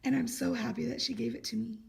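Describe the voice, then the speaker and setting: A chatty woman teacher vlogs in a loose, rambling way, her voice hoarse and gravelly from having lost it. She sits on the higher side of the range, and a faint hum of background noise runs under her talk. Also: level tone, fast talker. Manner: chatty and upbeat, rambling asides. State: hoarse, recovering voice. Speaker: teacher vlogger. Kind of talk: casual vlog.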